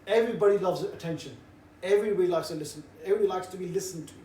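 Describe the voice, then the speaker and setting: A middle-aged man with a fairly high voice, talking a group through a casual, cheeky briefing. He was recorded from across an echoey room, with faint noise underneath. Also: very fast. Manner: cheeky, relaxed, jovial banter. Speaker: street preaching trainer. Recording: roomy echo, distant mic, faint background noise.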